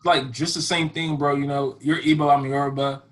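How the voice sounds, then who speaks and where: A man's voice: a little high, little pitch movement, brisk. Young male podcast host, rambling. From Houston, Texas. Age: young adult. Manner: rambling, full of fillers like "you know". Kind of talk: casual chat.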